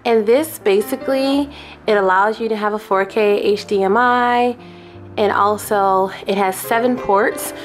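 A young woman vlogging an unboxing in a small living room, chatty and excitable, a little sniffly from a cold. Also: mid-range voice, unhurried, soft background music. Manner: chatty and excitable, addresses viewers as y'all. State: sniffly, getting over a cold. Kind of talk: casual unboxing vlog.

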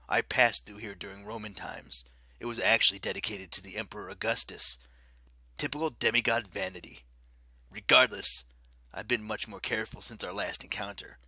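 Man doing a low, silky werewolf king. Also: steady tone, normal speed, dry recording, full character voice. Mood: mocking. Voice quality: low, quiet, silky menace. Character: menacing ancient werewolf king, mocking.

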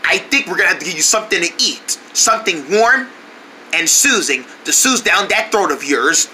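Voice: medium pitch, animated, normal speed, pushed and bright, slight background noise, rough recording. A performer voicing a bossy, exasperated male cartoon friend, blunt and emphatic in manner. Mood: reassuring.

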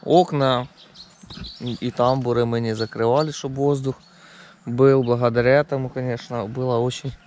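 A man's voice: mid-range voice, fairly even intonation, normal speed, darkish tone, a little hiss or hum. A man narrating a casual camping vlog outdoors, talking to viewers. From Ukraine. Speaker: camping vlogger. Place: outdoors at a forest campsite.

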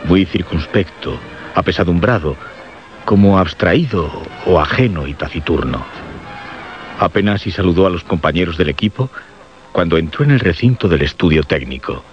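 A man with a Castilian Spanish accent narrating a suspense radio drama solemnly and deliberately, in short phrases. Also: low-pitched, animated, faint music behind. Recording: muffled radio recording.

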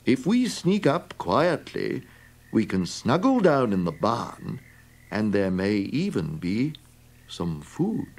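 A good-natured storybook donkey, voiced by a man reading aloud, low and friendly. He coaxes and encourages in a lively voice that rises and falls freely. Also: slow, heavily acted. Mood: coaxing. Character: kindly male donkey, leader of the group.

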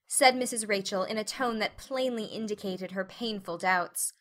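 A woman's voice: medium pitch, moderate pace, a bit bright. A woman reading scripted literary narration as an audiobook narrator.

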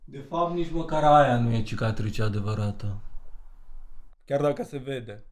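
A man's voice: mid-range voice, close to an everyday voice. An actor playing a troubled grown son, a young man confiding in a bitter, wry, conversational way in an audio drama.

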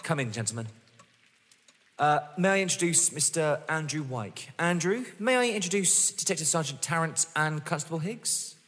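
A man plays a young tormentor in a radio play, vengeful and toying. His delivery is theatrical and mocking, with pitch leaping around freely. The sound carries a long reverb. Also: expressive, normal speed, a bit bright. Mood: smug. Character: taunting, vengeful young man running a sadistic game. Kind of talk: audio drama acting.

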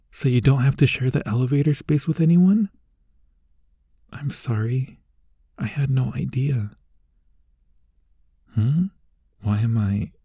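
A man's voice: medium pitch, slightly rough, no room sound, studio quality. A man playing a tsundere young bully, teasing but secretly caring, in an ASMR roleplay with a hesitant delivery. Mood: sheepish, flustered. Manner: hesitant, stammering, softly spoken asides.

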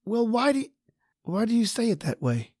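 A woman's voice: a little high, sing-song, normal speed, a little mellow, husky, dry recording, studio quality. A woman playing a terrified stammering man. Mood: tense, hushed. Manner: stammering, panicky. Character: frightened injured man hiding in a tunnel. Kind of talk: old-time radio drama acting.

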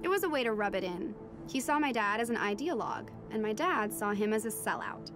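A woman playing a marine biologist's daughter, telling her undersea adventure in measured, dramatic first-person narration. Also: medium pitch, sing-song, over music, natural voice. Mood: reflective.

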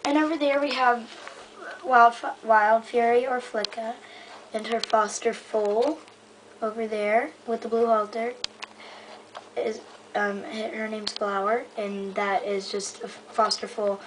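A woman with a slightly high voice talks slowly through a casual show-and-tell. She is hesitant and halting, often letting sentences trail off. It is an amateur home camera recording with faint noise. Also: flat delivery. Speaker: hobbyist giving a toy horse stable tour. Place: indoors in a room at home. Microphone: handheld camera held close by the speaker.

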